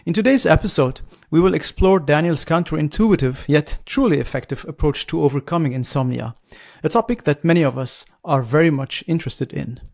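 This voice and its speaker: A man's voice: fairly high pitch, fairly quick, vocal fry, a little mellow. A man hosting a podcast, giving prepared introductions and asking interview questions in a formal, courteous manner. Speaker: podcast host.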